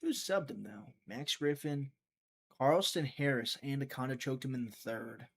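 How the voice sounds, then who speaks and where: A man hosting a live prediction stream, chatting casually, thinking out loud and talking to his viewers, sometimes trailing off into a mutter. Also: mid-range voice, normal speed, creaky. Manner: chatty, thinks aloud, talks to chat.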